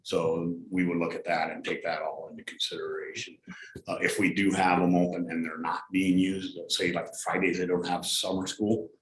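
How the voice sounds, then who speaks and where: A man giving an informal, halting, plainspoken explanation as a staff member at a public meeting. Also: sits low, level tone, fairly bright tone. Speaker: school district transportation staffer. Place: indoor school board meeting room. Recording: echoey meeting room with background noise.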